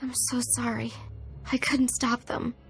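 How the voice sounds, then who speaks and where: An actress playing a grave, earnest captive agent in a video game. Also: female voice, on the high side, level tone, unhurried, gravelly, fairly bright tone. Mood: anxious. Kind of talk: video game cutscene acting. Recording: game audio over music.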